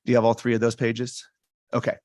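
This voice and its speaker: A soft-spoken man presenting school fees at a public board meeting, talking into a stand microphone with many ums and restarts. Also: medium pitch, monotone, fast talker, dry recording, studio quality. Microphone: stand microphone at a meeting table.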